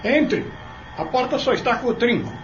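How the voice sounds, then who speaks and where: A man's voice: very high-pitched, lively intonation, moderate pace, faint music behind. A man playing a self-assured, courteous travelling salesman in an audio soap opera, speaking formally and probingly. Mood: brisk, inviting. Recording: dull lo-fi audio soap recording.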